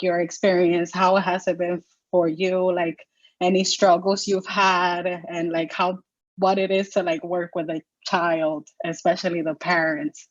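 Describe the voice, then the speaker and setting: A woman's voice: fairly low pitch, no echo. Young female student, rambly, lo-fi dead-dry audio. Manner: rambling, many "like"s. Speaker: postbac student. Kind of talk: casual question on video call.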